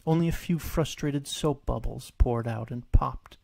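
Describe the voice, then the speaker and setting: Male storybook narrator: mid-pitched, gravelly with vocal fry, mellow delivery of scripted prose. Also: measured pace, dry recording. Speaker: author reading his own story.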